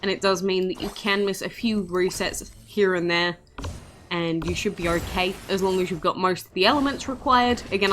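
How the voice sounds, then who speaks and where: A woman's voice: medium pitch, a bit bright, a little hiss or hum. A woman giving casual, chatty gameplay commentary in an informal, self-deprecating way as a video host.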